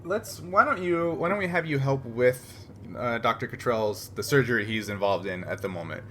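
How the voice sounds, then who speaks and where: A man's voice: mid-range voice, lively intonation, normal speed, fairly bright tone, slight background noise. A man casually narrating as game master of a tabletop roleplaying game, feeling his way through his phrases in conversation.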